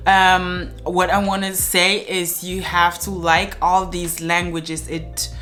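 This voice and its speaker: A woman's voice: on the low side, fairly bright tone. A young woman giving earnest, chatty advice to the camera from her living room, with many "uh" fillers. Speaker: language vlogger.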